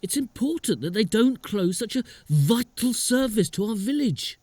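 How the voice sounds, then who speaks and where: An earnest, naive protester in a village radio play. His voice is extremely high for a man and swings widely. The sound is dry. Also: very animated, normal speed, a little mellow, dry recording. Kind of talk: radio drama acting.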